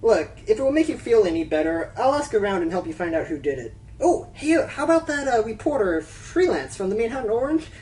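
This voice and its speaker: A woman's voice: a little high, very animated, brisk, hardly any pauses, warm tone, far from the mic, poor audio. A woman in a radio play voicing a playful but sincere prankster pony. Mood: sincere, defensive.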